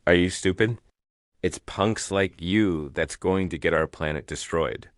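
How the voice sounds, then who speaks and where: A man's voice: sits low, a little slow, dry recording, clean studio sound. A man playing a character dismayed by looming doom in an amateur audio drama. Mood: scornful.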